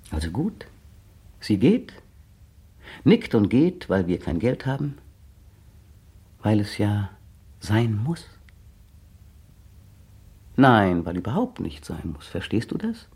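A husky, sardonic, bitter man. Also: medium pitch, dark tone, heavily acted. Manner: mocking, sardonic and wildly shifting. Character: bitter, sardonic man taunting his young partner. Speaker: male lead in a radio play. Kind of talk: 1960s German radio drama acting.

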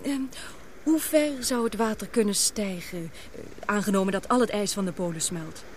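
A woman's voice: mid-range voice, animated, moderate pace, lightly acted. An actress as a flustered, affectionate radio-play woman. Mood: curious. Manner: hesitant, flustered. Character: eager, affectionate woman on an expedition, easily flustered. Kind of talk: Dutch radio play acting. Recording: faint noise, old radio play recording.

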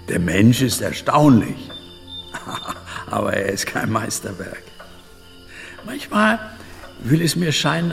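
An actor playing an elderly, learned insect collector in a radio play, rapt and musing, savouring each phrase. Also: male voice, a little high, vocal fry, exaggerated voice. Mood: musing, wry. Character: elderly learned male insect collector and merchant, rapturous, philosophical. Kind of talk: German radio play acting. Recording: long reverb, music behind.

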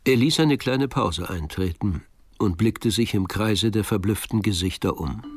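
An actor narrating a German radio murder mystery in a measured, atmospheric storytelling manner. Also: male voice, lowish voice, close to an everyday voice. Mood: measured, suspenseful. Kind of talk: scripted narration in radio drama.

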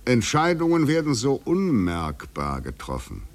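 A man's voice: mid-range voice, wildly swooping pitch, a little slow. An actor in a 1950s literary radio drama playing a taunting, eloquent male fugitive in a poetic, ironic manner. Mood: probing, ironic.

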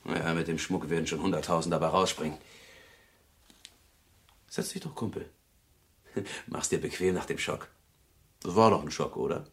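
A man's voice: extremely low pitch, fairly even intonation, normal speed, pauses often. An actor playing a brutish, gloating crook in a German crime radio drama, taunting and sneering as he speaks. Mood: gloating, mocking. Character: brutish, gloating male crook, mocking and menacing.

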